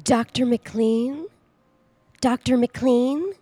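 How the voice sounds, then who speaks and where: A woman voicing a polite little girl in a radio play, with slow, sing-song speech. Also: on the high side, warm tone, slightly rough, no room sound, heavily acted. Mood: eerily polite.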